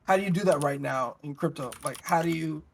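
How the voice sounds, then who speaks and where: A man's voice: a little high, fairly quick, gravelly, a little hiss or hum. A man talking casually in a group discussion as a podcast panelist.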